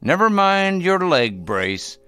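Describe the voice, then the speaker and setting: A man's voice: high voice, sing-song, sharp tone, faint music behind, exaggerated voice. An actor playing Ben, a kindly, hesitant old man who dotes on a little girl, in a radio drama. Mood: fond. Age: older. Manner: slow, hesitant, fond.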